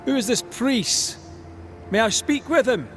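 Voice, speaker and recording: An actor playing a grieving, resolute Scottish ghost hunter in a dramatic video game. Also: male voice, very high-pitched, animated, a little slow, a little hiss or hum. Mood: businesslike, firm.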